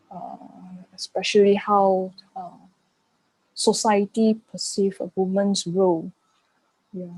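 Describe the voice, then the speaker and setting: Slow-speaking, mellow woman. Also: mid-range voice, dry recording, low-quality recording. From Malaysia. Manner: halting, searching for words in non-native English. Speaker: art curator and panel guest. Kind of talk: reflective spoken interview answers.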